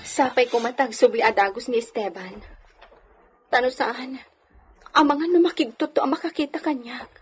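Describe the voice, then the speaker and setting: A woman's voice: on the high side, moderate pace, long pauses, faint music behind, lightly acted. A woman playing an anxious, sorrowful young lady of wealth confiding in her maid in a radio drama. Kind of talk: Ilocano radio drama acting.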